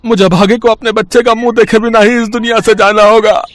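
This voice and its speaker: A man's voice: high-pitched, level tone, brisk, close to an everyday voice. A man playing a tender, resigned young husband in a moral story, speaking earnestly and solemnly. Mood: grave, resigned. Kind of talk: animated moral-story dialogue.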